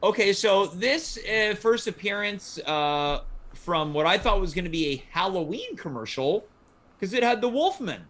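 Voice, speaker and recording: High voiced guy hosting a casual chat show, really animated intonation. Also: normal speed, slight background noise. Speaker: show host.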